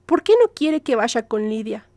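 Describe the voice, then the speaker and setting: A woman voicing an aging, sickly mother who flatters and pleads in an audiobook drama, with ingratiating, dramatic exclamations. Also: on the high side, normal speed, no echo. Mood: coaxing. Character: aging, ailing, scheming mother, fawning and wheedling.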